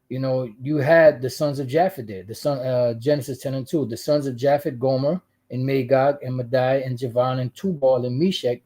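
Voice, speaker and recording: A man's voice: mid-range voice, dark tone. A man hosting his channel, giving casual religious commentary and reading out scripture in a rambling way, full of asides and restarts. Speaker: channel host.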